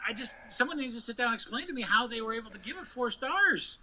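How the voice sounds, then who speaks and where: A man's voice: extremely high pitch, expressive, fast talker, crowd noise, rough recording. A man co-hosting a podcast, talking bluntly and informally in a casual film review. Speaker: movie podcast co-host.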